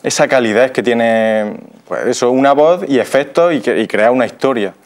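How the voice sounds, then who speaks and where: Clear-voiced Spanish man in his thirties. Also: medium pitch, normal speed. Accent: Spain. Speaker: radio theatre actor, interview guest. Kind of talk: casual explanatory talk. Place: TV studio. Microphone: lapel mic.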